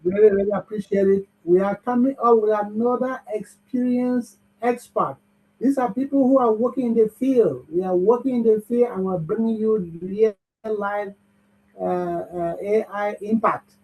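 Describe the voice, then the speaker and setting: A man's voice: sits high, fairly even intonation, normal speed. A man hosting an online bootcamp, upbeat and cheering the audience on over a video call. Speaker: bootcamp host. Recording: muffled video-call audio.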